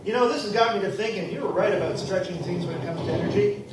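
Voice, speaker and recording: A man's voice: sits high, quick pace, lightly acted. A man playing an earnest young townsman. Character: practical young townsman with a backhoe. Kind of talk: live radio play acting. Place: convention hall. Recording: very echoey hall, from far off, noisy.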